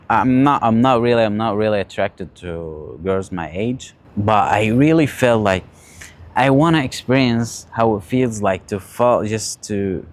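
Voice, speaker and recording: Male, late teens, lowish pitch. Casual confessional vlogger, halting delivery with repeated phrases. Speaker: vlogger sharing personal stories and advice. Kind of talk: casual confessional talk, storytelling.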